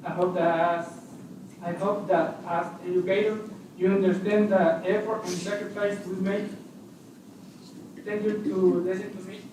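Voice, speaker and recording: A nineteen-year-old man from Honduras, a student making an earnest public comment in slightly halting English. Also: high-pitched, flat delivery, a little mellow. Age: young adult. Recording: echoey hall, far from mic, noise.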